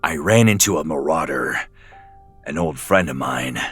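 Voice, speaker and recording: A gruff mutant brawler for an audio drama. The voice is very low and rough, with a hard forceful edge, swinging widely over background music. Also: male voice, expressive, moderate pace, exaggerated voice. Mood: grim. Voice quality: raspy, growling. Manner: blunt, clipped. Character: gruff, urgent male mutant fighter. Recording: music under the voice.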